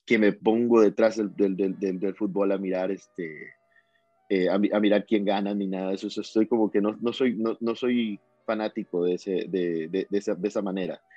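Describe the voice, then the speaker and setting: Low, mellow Ecuadorian man rambling. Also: dry recording, lo-fi sound. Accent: Ecuadorian coastal. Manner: rambling, repeats and restarts words. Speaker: guest musician. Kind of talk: casual interview chat.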